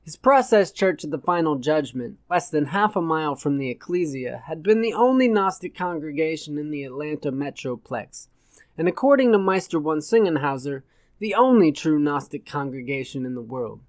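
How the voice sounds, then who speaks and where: A man's voice: on the high side, expressive, moderate pace, no room sound. A man narrating satirical fiction as a scripted audiobook reading.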